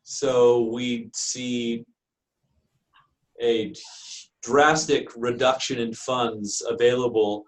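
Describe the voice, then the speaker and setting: An American man lecturing to a class as a university instructor, in a halting way padded with frequent filler phrases. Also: medium pitch, steady tone, rough recording. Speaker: university lecturer in special education.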